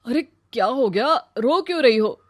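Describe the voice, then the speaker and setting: A woman's voice: fairly high pitch, animated, normal speed, no echo, pitched above the performer's own voice. Woman doing a cocky rich young husband in a story, insistent, dry close sound. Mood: concerned. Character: rich young man, smooth suitor turned suspicious husband.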